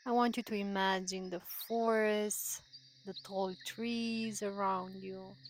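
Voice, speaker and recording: A woman leading a guided meditation in a soothing, gentle manner, with drawn-out phrases and long pauses. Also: medium pitch, fairly even intonation, a little hiss or hum. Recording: voice over prominent background music. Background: meditation music.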